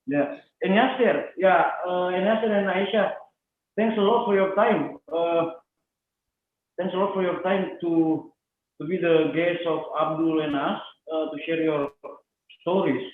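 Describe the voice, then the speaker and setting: A man's voice: on the high side, measured pace, phone-quality sound. Heard over a very echoey, phone-thin video call with some background noise, a man moderates casually. His phrasing is hesitant and repetitive. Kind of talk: casual online discussion.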